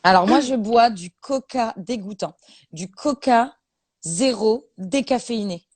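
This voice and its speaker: A fairly high-voiced woman chats playfully into her phone on a live stream, teasing her friends and laughing often. The sound is close and dry, with almost no room in it. She is in her thirties. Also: a little slow, a little mellow, no echo. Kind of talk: casual chat on a live stream.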